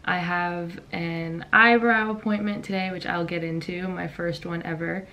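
A young woman vlogging casually from her bedroom, chatty and rambling with lots of ums and likes, her voice raspy from just waking up. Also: lowish voice, steady tone, measured pace, bright, forceful tone. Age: young adult. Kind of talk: casual vlog chat. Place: bedroom at home. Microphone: camera in front at desk.

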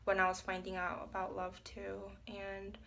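A young woman with a raspy, hoarse voice chatting softly to a phone camera in a small tiled bathroom, with lots of fillers. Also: medium pitch, completely flat delivery, moderate pace. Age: young adult. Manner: soft-spoken, many fillers, laughs often. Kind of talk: casual reflective chat to camera. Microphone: phone held at arm's length.

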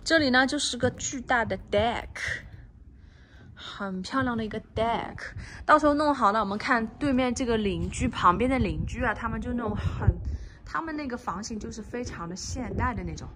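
A woman's voice: on the high side, lively intonation, normal speed, slight background noise. A woman real estate agent walking through a house under construction, chatting casually and mixing English terms into her talk. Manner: drops to a soft, near-whispered voice at times. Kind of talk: casual walkthrough tour. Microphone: handheld phone camera.

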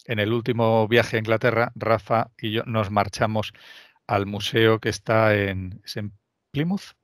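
A man's voice: fairly low pitch, steady tone, measured pace, dry recording, studio quality. A middle-aged man acting as a museum guide, explaining aviation history in a hesitant, filler-heavy way over a video call. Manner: hesitant, many fillers and restarts. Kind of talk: casual expert chat.

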